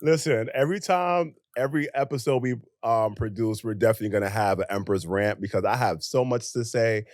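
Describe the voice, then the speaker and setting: The low-voiced young American guy on a chatty podcast, emphatic and animated, close and dry as if across a small table from you. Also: brisk, few pauses, no echo. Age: young adult. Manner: animated, emphatic, with rhetorical questions and acted-out asides. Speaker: podcast co-host. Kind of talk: casual conversational podcast chat.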